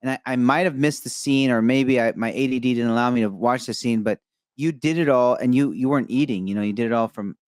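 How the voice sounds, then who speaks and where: A young adult man from Egypt hosting a podcast interview, talking in an animated, effusive, rambling way with many fillers. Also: mid-range voice, quick pace, no room sound, clean studio sound. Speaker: podcast host. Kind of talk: enthusiastic interview chat.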